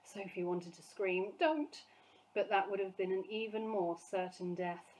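A woman teacher reading a children's storybook aloud, clear and expressive. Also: medium pitch, normal speed, poor audio.

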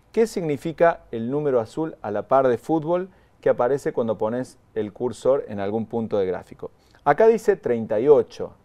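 A man's voice: medium pitch, a little mellow. Man explaining data to viewers. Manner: explanatory, repeats key points, talks to viewers. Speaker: trainer. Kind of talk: live online training. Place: studio in front of a graphic backdrop.

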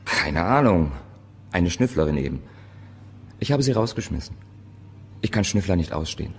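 Prickly photographer for crime radio play: deep, gruff, curt, in a long reverb. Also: male voice, sing-song, moderate pace. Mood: dismissive. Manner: curt, evasive. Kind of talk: German radio crime drama acting. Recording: reverberant large room, background noise.

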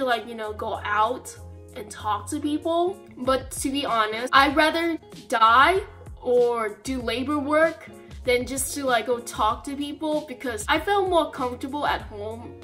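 A young woman with a fairly high voice records a casual diary vlog in a bedroom. She rambles in a halting way, leaning on "like" between thoughts. Faint music sits behind her voice. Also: fairly bright tone. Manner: rambling, halting, filler-heavy with frequent "like". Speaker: vlogger. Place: small bedroom, indoors.

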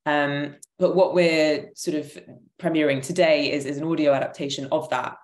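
Female guest, thirties, deep for a woman, informal hesitant explainer, roomy video-call sound. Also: fairly even intonation, moderate pace. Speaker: panel guest, theatre maker. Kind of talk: conversational explanation of own work. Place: room at home. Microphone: computer mic on a video call. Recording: echoey room on video call.

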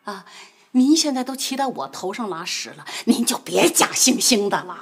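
A sharp-tongued mother. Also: a little high, animated, normal speed, breathy edge. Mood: indignant. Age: middle-aged. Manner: scolding, sarcastic, turns tearful. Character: theatrical, sharp-tongued middle-aged mother. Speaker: mother of grown children. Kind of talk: TV drama acting.

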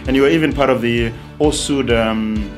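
A middle-aged man hosting a studio interview, with hesitant, halting phrasing. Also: mid-range voice, over music. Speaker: interview host. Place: small studio, seated at a desk.

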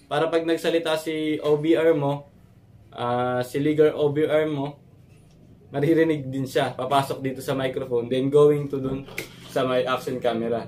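A young man talks through a tutorial like a casual motovlogger. His voice sits a bit high for a man. He explains informally and a little haltingly, with faint noise in a small room. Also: a little mellow. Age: young adult. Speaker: motovlogger and host. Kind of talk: casual tutorial vlog talk. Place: small concrete-walled room with computer setup. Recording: noisy room recording.

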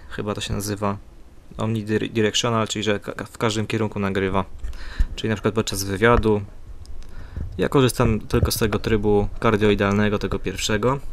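A man's voice: on the low side, gravelly, slight background noise. A man giving a casual spoken product review in a hesitant, plain-spoken, improvised way into a USB desktop microphone. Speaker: amateur gadget reviewer. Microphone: USB condenser desktop microphone.

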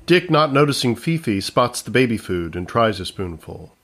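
A man narrating a scripted comedy in a measured, matter-of-fact way. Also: medium pitch, sing-song, a bit bright.

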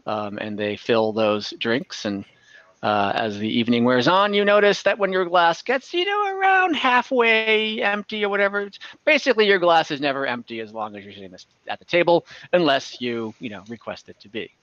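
Bright-voiced man running a tabletop game. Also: fairly high pitch, animated, normal speed, no room sound. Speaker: game master. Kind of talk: improvised tabletop game narration. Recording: dull lo-fi call audio.

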